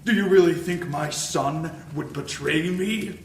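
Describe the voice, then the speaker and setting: Actor as a slow, smug cult ringleader. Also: male voice, on the high side. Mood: smug, menacing. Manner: theatrical, deliberate, formal. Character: smug male cult master of ceremonies, a villain. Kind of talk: noir audio drama acting. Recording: echoey, distant mic, clear background noise.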